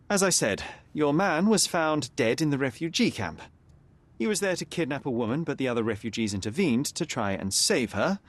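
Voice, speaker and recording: A man voicing a confident young male detective in a video game, calm and precise. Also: on the high side, close to an everyday voice. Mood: probing. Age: young adult. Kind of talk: video game cutscene dialogue.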